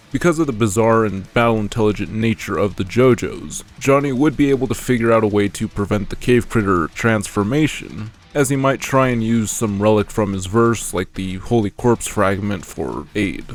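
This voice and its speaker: A man reading a scripted explainer narration as a video narrator. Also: on the low side.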